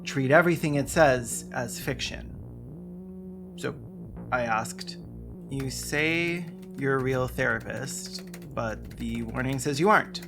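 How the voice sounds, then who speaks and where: A video essayist narrating a script with sarcastic, deadpan comic asides. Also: mid-range voice, slow pace, gravelly, music in the background. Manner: sarcastic, wry deadpan jokes.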